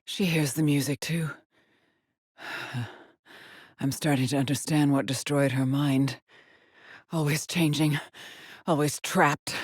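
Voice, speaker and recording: Slow-spoken haunted woman astronaut. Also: sits low, breathy edge, no echo, studio quality. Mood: uneasy wonder, then brooding, haunted. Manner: slow, measured, brooding delivery with pauses. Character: haunted, driven female astronaut explorer, weary and introspective. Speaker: video game voice actress. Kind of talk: dramatic game monologue, solitary log recordings.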